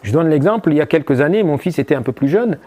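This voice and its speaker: A middle-aged man from Chad, a former officer answering an interview question thoughtfully, halting with many fillers and restarts. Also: a little high, lively intonation, warm tone.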